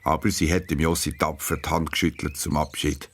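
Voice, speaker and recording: A man's voice: extremely low pitch, level tone, brisk. A man with a Swiss German accent narrating a scripted story for children.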